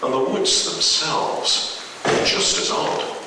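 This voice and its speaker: A man reading a horror story aloud dramatically as a radio host, in an echoey, noisy recording at a distance from the microphone. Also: slow.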